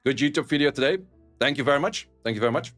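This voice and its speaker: A man's voice: medium pitch, rapid-fire, fairly bright tone, faint music behind. A man with a Dutch accent chatting casually with his stream viewers, blunt and sweary, laughing often. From Netherlands. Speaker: streamer and esports caster. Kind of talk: casual live-stream chat reading viewer messages.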